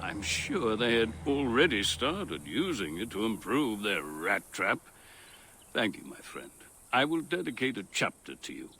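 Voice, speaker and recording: An actor playing an effusive Roman architect and scholar, speaking in a grandiloquent, theatrical way for a video game. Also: male voice, lowish voice, sing-song, measured pace, sharp tone, a little hiss or hum, full character voice. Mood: delighted, grateful. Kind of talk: video game cutscene acting.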